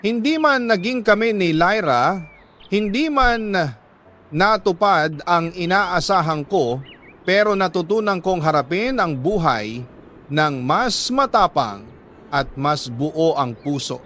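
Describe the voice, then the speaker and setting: A Filipino man reading a scripted, sentimental first-person love story in an earnest, deliberate way, like a radio storyteller. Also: high-pitched, expressive, fairly bright tone, a little hiss or hum. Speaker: radio-style storyteller reading a listener's letter.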